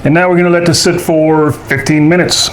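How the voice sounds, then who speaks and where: A man's voice: fairly high pitch, fairly even intonation, fairly quick, slight background noise, low-quality recording. A man hosting a casual cooking demonstration at home, talking in a folksy way with joking asides. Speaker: show host. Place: indoors at home kitchen.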